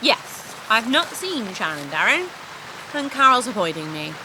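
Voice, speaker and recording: Actress as a high, animated, world-weary workmate. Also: female voice, a little slow. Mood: resigned. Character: plain-speaking, caring, world-weary woman workmate. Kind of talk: comedy audio drama dialogue. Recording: clearly noisy, rain-like background.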